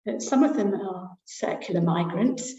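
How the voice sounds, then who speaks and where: A middle-aged woman lecturer giving an academic webinar talk over a video call, pausing often with fillers as she thinks aloud. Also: medium pitch, warm tone. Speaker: university lecturer presenting research. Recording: roomy, dull lo-fi webinar audio.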